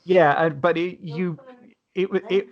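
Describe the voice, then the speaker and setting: A man's voice: high-pitched, fairly even intonation, measured pace, dull audio. A man in casual panel discussion, talking wordily and often restarting his sentences. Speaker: podcast panelist.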